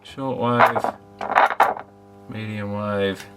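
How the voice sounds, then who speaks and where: A man's voice: on the low side, flat delivery, drawn-out words, very noisy. A man thinking aloud in a casual, unscripted workbench commentary, muttering tentatively as he works. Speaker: amateur electronics repairer presenting. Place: repair workbench indoors.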